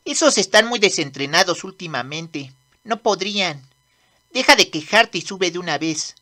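A woman voicing a mischievous, excitable boy demon prince in a manga dub, brash and commanding. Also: lowish voice, moderate pace. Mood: bossy. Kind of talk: amateur manga dub acting.

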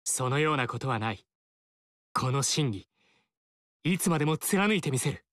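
Game VO: male actor as a sincere young warlord, formal, resolute, animated pitch. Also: normal speed, no room sound, studio quality, lightly acted. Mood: earnest. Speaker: game character. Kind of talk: game dialogue acting.

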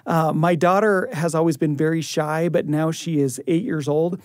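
A man's voice: fairly high pitch, fairly even intonation, moderate pace, no echo, clean studio sound. An American man in his thirties or forties, speaking as a co-host on a family podcast. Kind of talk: friendly chat and scripted announcing. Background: faint music.

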